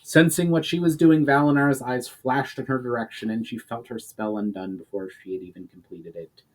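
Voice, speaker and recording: A man reading a fantasy novel aloud as a dramatic narrator, with expressive, theatrical phrasing. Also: medium pitch, moderate pace, rough recording. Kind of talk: dramatic audiobook-style narration.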